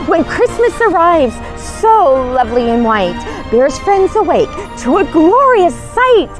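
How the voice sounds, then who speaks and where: A woman telling stories to young children in an expressive, coaxing, exclaiming read-aloud manner. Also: extremely high pitch, measured pace, faint music behind, low-quality recording. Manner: animated, exclaiming, coaxing, dramatic gasps. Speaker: costumed storyteller as Mrs. Claus.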